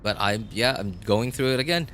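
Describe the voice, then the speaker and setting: A man chatting casually as a game livestreamer, rambling and halting as he thinks aloud. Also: lowish voice, a bit bright, a little hiss or hum. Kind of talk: casual chat to viewers while gaming. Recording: music playing behind the voice. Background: background music.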